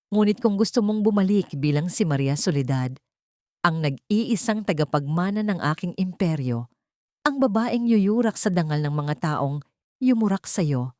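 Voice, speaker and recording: A woman's voice: fairly low pitch, warm tone, dry recording, studio quality, lightly acted. A woman acting a solemn, wealthy old father. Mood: hard, resolute. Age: older. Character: wealthy old father, remorseful, cold and vengeful. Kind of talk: dramatic audio story dialogue.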